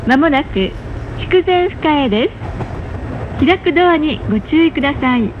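High-pitched woman's train announcement, muffled and noisy. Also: expressive. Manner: polite, formal, measured enunciation. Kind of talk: pre-recorded scripted public announcement. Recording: muffled, noisy onboard train speaker recording. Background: rumble of a moving train.

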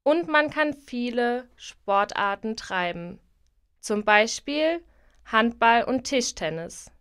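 Female reader, bright high voice, smooth, slow scripted delivery. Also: expressive. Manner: slow and clearly articulated. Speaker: exam recording speaker. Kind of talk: slow scripted advert reading.

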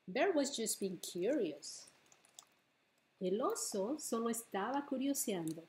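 A middle-aged woman hosting a bilingual storytime as a children's librarian, reading picture books aloud with deliberate phrasing for small children. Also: fairly high pitch, sing-song, darkish tone. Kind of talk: bilingual picture-book read-aloud for preschoolers. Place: living room at home, indoors.

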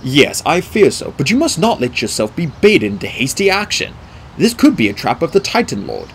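A man voicing a wise, kindly middle-aged centaur teacher in an audiobook, speaking in a measured, formal, grave way. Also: on the high side, sing-song, a little hiss or hum, pitched above the performer's own voice. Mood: earnest warning. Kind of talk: audiobook character dialogue.

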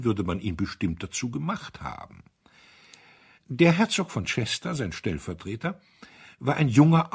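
A man narrating a literary audiobook in a measured, expressive storytelling manner. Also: medium pitch, husky.

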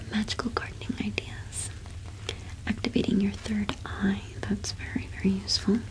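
A young woman whispering in a breathy, gentle, unhurried way, as in an ASMR roleplay. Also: medium pitch, rough recording. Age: young adult. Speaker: ASMR host playing a shopkeeper.

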